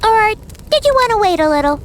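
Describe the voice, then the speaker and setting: Squeaky, perky little sidekick. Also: female voice, very animated, fairly quick, a little hiss or hum. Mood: cheerful, casual. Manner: bubbly, childlike, casual. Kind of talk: cartoonish video game voice acting.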